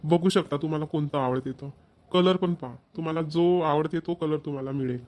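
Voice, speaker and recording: A man's voice: on the high side, a little fast, darkish tone, close to an everyday voice. A man voicing a polite, deferential shop salesman in a Marathi cartoon, with a Maharashtrian accent. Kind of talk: cartoon voice acting.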